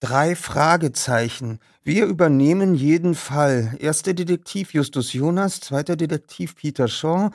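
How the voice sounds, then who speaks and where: A man's voice: on the high side, measured pace, few pauses, no echo, studio quality, voice pushed up. A man voicing a polite, self-assured teenage boy detective in a storybook, speaking in a formal, articulate and solicitous way. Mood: confident.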